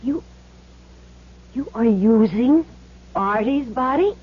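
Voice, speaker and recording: Uneasy accomplice, animated intonation, unhurried halting speech, radio play, muffled hissy vintage recording. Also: female voice, a little high, breathy edge, full character voice. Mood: amazed, uneasy. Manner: hesitant, halting, questioning. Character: uneasy female accomplice posing as a lucky gambler. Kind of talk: old-time radio drama acting. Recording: dull 1970s radio recording, hiss.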